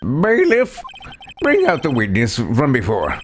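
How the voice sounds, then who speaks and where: A man's voice: medium pitch, wildly swooping pitch, slow, slight background noise. A man voicing an elderly judge in a courtroom video game, stern, pompous and easily flustered, with a ponderous, stammering, formal delivery. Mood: deliberate, inquiring. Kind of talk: live voiced playthrough of a courtroom video game. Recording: game music under the voice.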